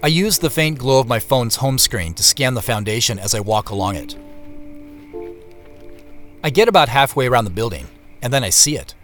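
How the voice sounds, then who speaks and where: An actor playing a reflective man who narrates his secretive search in a measured, descriptive way for a scripted audio drama. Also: mid-range voice, animated, a bit bright, faint music behind, lightly acted. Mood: focused, intent. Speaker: narrator and lead character.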